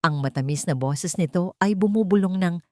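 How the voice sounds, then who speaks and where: Filipino woman narrating a drama, low, dry. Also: moderate pace, mellow, dry recording. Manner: dramatic storytelling, voicing characters' lines. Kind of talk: scripted audio drama narration.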